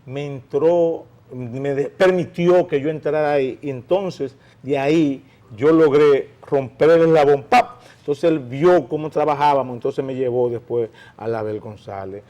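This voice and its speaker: A man's voice: medium pitch, unhurried, hardly any pauses. A middle-aged Dominican man hosting a radio talk show, telling stories with energy and talking over his co-hosts. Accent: Dominican Spanish. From Dominican Republic. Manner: animated storyteller, talks over others. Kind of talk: casual panel chat.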